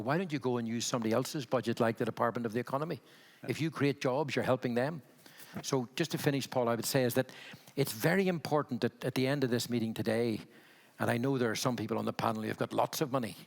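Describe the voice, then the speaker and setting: A gravelly-voiced man in his sixties speaks with a Belfast accent. He talks candidly at a conference panel, with a wry edge and frequent restarts. His pitch sits in the middle range. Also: fast, big-room sound. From Northern Ireland.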